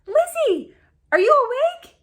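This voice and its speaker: Lady doing a giddy teen sister in a storybook, super high, breathless, gushing. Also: sing-song, unhurried, heavily acted. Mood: excited calling. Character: giddy, shrieking excitable teenage sister.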